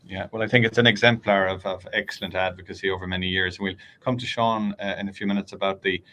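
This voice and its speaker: A man's voice: sits low, fairly even intonation, fast, sharp tone. A man moderating a panel, introducing guests and asking questions, restarting at times. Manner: inviting, restarts when asking.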